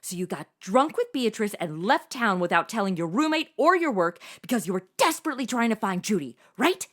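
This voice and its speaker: A woman in her twenties playing a brash, excitable, slangy amateur detective in a comedic audio drama. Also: mid-range voice, fairly quick. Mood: sassy, nosy. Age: 20s. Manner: sassy, slangy, interrupting.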